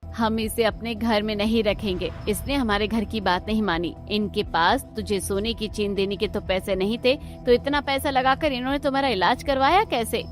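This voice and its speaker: A woman's voice: mid-range voice, fairly even intonation, normal speed, lightly acted. A woman voicing a stern, resentful middle-aged mother-in-law in a cartoon, scolding and accusing. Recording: background music under the voice.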